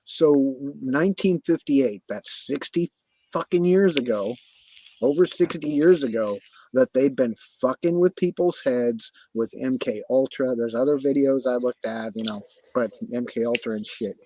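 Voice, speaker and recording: A man's voice: mid-range voice, normal speed, warm tone, no room sound, low-quality recording. Man ranting profanely on a livestream. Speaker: livestream host. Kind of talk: casual livestream chat.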